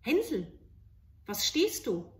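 A woman's voice: a little high, wildly swooping pitch, slow, distant mic, voice pushed up, full character voice. Woman acting a harsh, scolding fairy-tale stepmother. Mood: impatient scolding. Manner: scolding, curt. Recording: roomy home recording.

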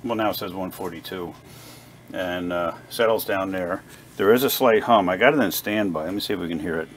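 A man with a very low voice talks casually through hobby repair work. He is plain-spoken and a little halting, thinking aloud as he goes. Faint noise sits behind him in an indoor workshop recording. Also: fairly bright tone. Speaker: hobbyist radio repair vlogger. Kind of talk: casual unscripted workbench narration. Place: indoor home workbench. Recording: clear background noise, workshop ambience.

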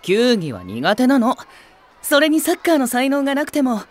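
A man's voice: very high voice, wildly swooping pitch, moderate pace, full character voice. An actor playing a vain, playful young male nursery teacher, airy and teasing, in an anime-style audio drama. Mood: vain, huffy. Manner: airy, self-satisfied, teasing.

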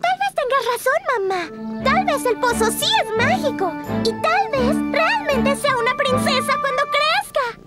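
A woman's voice: very high-pitched, lively intonation, measured pace. A woman voicing an earnest little girl in a cartoon dub with a Latin American Spanish accent. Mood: bright, curious. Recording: loud music behind the voice.

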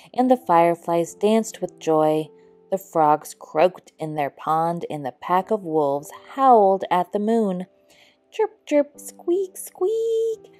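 Slow, mellow woman reading a storybook. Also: fairly low pitch. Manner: measured, expressive storybook delivery. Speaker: storyteller reading a children's picture book aloud. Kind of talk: scripted read-aloud narration for children.

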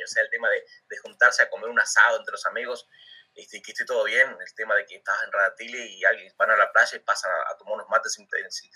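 A man's voice: on the low side, pushed and bright, slightly rough, recorded from a distance, low-quality recording. A middle-aged Argentine man chatting as an interview guest, stumbling and repeating words as he thinks aloud, and laughing easily. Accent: Argentine Spanish. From Argentina, Comodoro Rivadavia. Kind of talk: casual chat.

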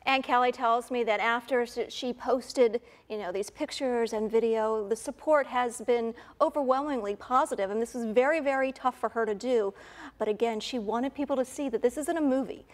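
Higher-voiced woman reporter in studio. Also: moderate pace. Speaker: news reporter. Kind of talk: unscripted studio discussion. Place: TV news studio.

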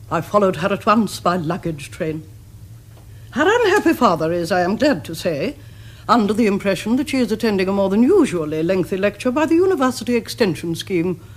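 An actress playing a formidable, disapproving middle-aged aristocrat with an upper-class English accent and haughty, deliberate diction. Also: female voice, medium pitch, breathy edge. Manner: haughty, deliberate, commanding enunciation. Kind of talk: period television comedy acting.

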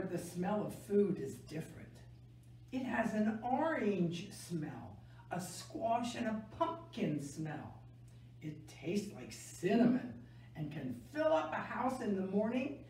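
An older woman reading a picture book aloud to children in a gentle, deliberate way, stressing key words. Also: medium pitch, very animated. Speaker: library story time reader. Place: small indoor room, seated. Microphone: camera a few metres away. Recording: echoey room, distant mic, faint noise.

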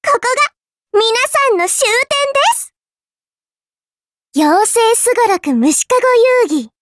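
A dainty little game-character girl speaks in an extremely high, cutesy voice. Her words are prim and polite, yet laced with teasing condescension and a cruel streak. The sound is close and dry. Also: sing-song, a little slow, dry recording, exaggerated voice. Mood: menacing, playful. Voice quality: childlike, cutesy. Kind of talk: Japanese mobile game character voice lines.

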